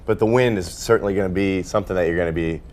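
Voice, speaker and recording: A man presenting a TV weather forecast off the cuff, somewhat halting as he reworks his sentences. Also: sits low, darkish tone. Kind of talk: unscripted weather presentation, live aircheck.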